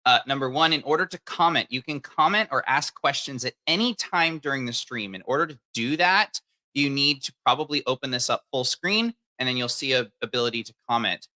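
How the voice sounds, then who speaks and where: A man's voice: medium pitch, animated, brisk, pushed and bright. A man hosting a live video stream, upbeat and chatty with plenty of fillers. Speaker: livestream host. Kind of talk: casual livestream hosting.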